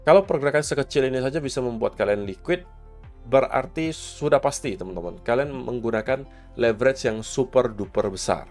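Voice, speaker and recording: Hoarse man talking through market data. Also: mid-range voice, expressive, a little fast, a little mellow, soft background music. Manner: explains steadily, mixing English market terms. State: hoarse from a cough. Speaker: crypto market commentator. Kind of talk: casual market analysis to viewers.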